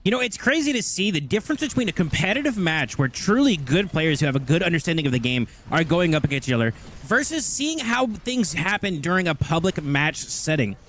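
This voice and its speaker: A man with a high, lively voice hosting an esports stream and chatting straight to his viewers. A faint noise sits under his voice. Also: brisk. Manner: chatty, addresses chat directly. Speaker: stream host. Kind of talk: casual stream commentary.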